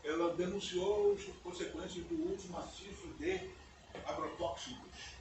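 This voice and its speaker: An older man, a native English speaker, giving a relaxed conference lecture in a university auditorium, often checking in with his listeners. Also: sits high, measured pace. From United States. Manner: conversational, often ends phrases with "né?". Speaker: guest lecturer. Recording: echoey auditorium, distant mic, background noise.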